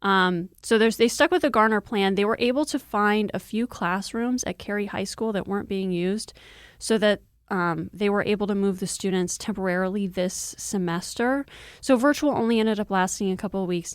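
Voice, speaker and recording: A woman's voice: medium pitch, clear, resonant tone, dry recording, studio quality. A woman reporter explaining things step by step in an informal, conversational news discussion. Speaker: news reporter, interview guest.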